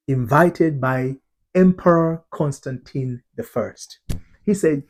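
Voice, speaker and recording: A middle-aged man from Tanzania teaches his viewers in an earnest, deliberate way. He often repeats and restarts his words. His voice is husky and mellow, pitched a little high for a man. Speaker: identity transformation coach. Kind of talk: teaching talk to camera.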